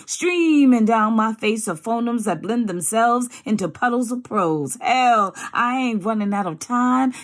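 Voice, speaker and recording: A woman from New York with an American accent, reading a prepared piece as a poet with deliberate, theatrical phrasing. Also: medium pitch. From New York, United States. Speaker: poet, actress and storyteller. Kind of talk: scripted self-introduction and poetry recital.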